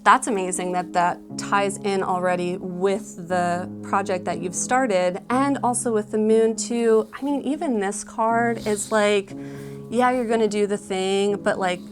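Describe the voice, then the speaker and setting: A woman's voice: medium pitch, steady tone, measured pace. A woman giving a casual tarot reading, explaining the cards in a conversational way with lots of filler words. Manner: conversational, explanatory, often hedging with "like" and "you know". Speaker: tarot card reader. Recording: music playing behind the voice.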